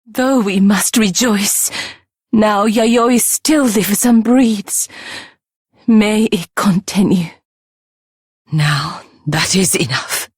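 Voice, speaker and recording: A grave, guarded villager from a video game. Her voice is very breathy and husky, delivered very slowly in a dry recording. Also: mid-range voice, dry recording. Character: grave, worried woman villager, cautious and bitter. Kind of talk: dramatic video game voice acting.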